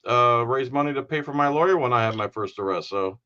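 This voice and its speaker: A man in his forties, a guest on a casual call, cracking jokes with deadpan asides. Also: mid-range voice, a little fast, clear, resonant tone, muffled recording. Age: 40s. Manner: joking, wisecracking, deadpan asides. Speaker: guest commentator. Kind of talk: casual joking chat.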